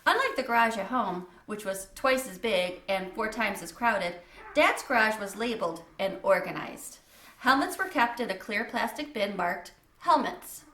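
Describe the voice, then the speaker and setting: A woman's voice: medium pitch, lively intonation, normal speed, sharp tone, roomy sound. A woman reading a children's book aloud as a storyteller, in a scripted narration style.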